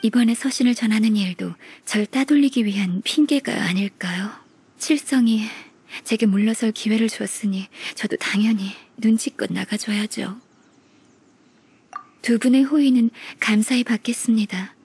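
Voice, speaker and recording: An actress playing a gentle, formal, melancholy half-immortal young secretary in a game, speaking softly with polite, measured resignation. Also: female voice, on the high side. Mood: resigned, wistful. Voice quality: very breathy, soft. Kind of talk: Korean game voice acting. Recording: music behind the voice.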